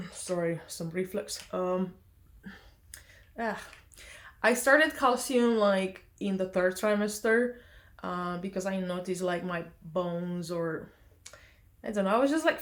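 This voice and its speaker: A young woman from Romania, chatting casually in a vlog, hesitant with many fillers and self-corrections. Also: mid-range voice. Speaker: vlogger.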